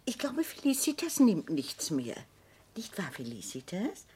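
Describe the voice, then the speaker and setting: A woman's voice: mid-range voice, wildly swooping pitch, measured pace, warm tone, husky, heavily acted. An actress playing an imperious, man-hating old consul's widow in a radio play, with a haughty, grandiose delivery. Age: older.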